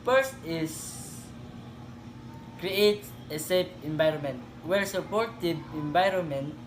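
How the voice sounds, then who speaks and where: A man's voice: on the high side, slow, soft background music, rough recording. A young man giving a class presentation and addressing his classmates casually. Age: young adult. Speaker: student presenter.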